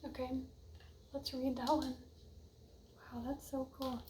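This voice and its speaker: A young woman with a soft voice giving a casual oracle card reading, musing and drifting off mid-thought. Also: sits high, little pitch movement, slow pace, a little hiss or hum. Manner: dreamy, musing, often trails off. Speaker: card reader.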